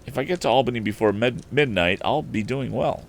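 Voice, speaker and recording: A man's voice: mid-range voice, a little fast, slight background noise, voice pushed up, natural voice. A man voicing an earnest, eager young male inventor who thinks aloud in an old adventure storybook. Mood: resigned.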